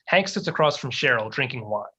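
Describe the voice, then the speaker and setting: A man's voice: medium pitch, expressive, fairly quick, pushed and bright, slightly rough, lo-fi sound. A man reading screenplay stage directions aloud as scripted narration at a table read.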